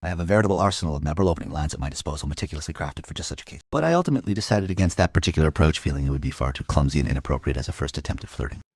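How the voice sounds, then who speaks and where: Deep, husky male inspector voiced by an actor. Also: animated, racing, few pauses, dry recording, exaggerated voice. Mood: wry, smitten. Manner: wry, musing, literary. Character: middle-aged male homicide inspector, wry, brooding, smitten narrator. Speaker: narrator. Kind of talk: first-person crime audio drama narration.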